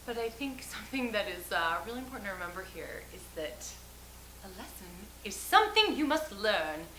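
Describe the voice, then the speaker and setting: Actress as a flustered, defensive banker, roomy echo. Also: female voice, mid-range voice, sing-song, close to an everyday voice. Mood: nervous, defensive. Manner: halting, formal, defensive. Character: flustered, evasive investment banker testifying in court. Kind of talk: comedic courtroom monologue. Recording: roomy echo, noisy background.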